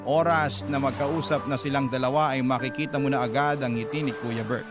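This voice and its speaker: A man's voice: medium pitch, steady tone, a little fast. A man with a Filipino accent, narrating a scripted first-person story as a radio drama host. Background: clear music bed.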